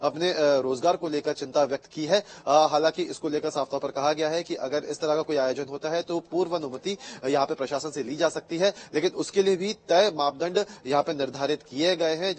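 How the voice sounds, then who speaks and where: Halting Indian male reporter, highish voice. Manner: halting, with very frequent filled pauses. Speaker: news reporter. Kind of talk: unscripted live news report.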